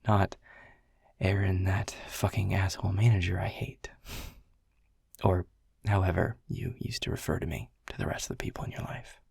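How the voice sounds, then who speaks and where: A man playing a world-weary, grumpy male middle manager who talks in a hushed, breathy, sardonic way and swears casually in an audio roleplay. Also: low-pitched, level tone, normal speed, dry recording, in a whisper, clean studio sound, heavily acted. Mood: wry, teasing. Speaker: boss.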